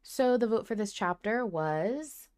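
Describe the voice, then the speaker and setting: A woman's voice: medium pitch, lively intonation, a little slow, a little mellow. A woman co-hosting a podcast, chatting casually and emphatically as a fan, with some swearing. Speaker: podcast co-host. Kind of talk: casual fan discussion of an audio drama.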